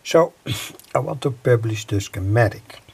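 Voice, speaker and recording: Slow, gravelly man explaining electronics. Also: medium pitch, lively intonation. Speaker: electronics hobbyist presenter. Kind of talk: informal technical explanation. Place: indoor home electronics workbench.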